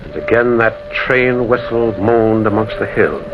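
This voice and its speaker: A muffled, faintly noisy vintage radio recording of a concerned railwayman. He is dramatic and deliberate, with widely ranging pitch in his narration and urgent questions. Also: expressive. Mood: awed, grave. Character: concerned railwayman recounting a ghostly encounter. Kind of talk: 1940s radio acting, dramatic first-person narration. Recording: dull, hissy old radio recording.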